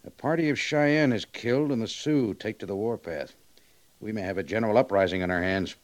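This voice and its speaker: An actor playing a commanding cavalry major in an old radio western, businesslike and dryly scornful. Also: male voice, lowish voice, a little fast, natural voice. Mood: grim, scornful. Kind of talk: 1950s radio western acting.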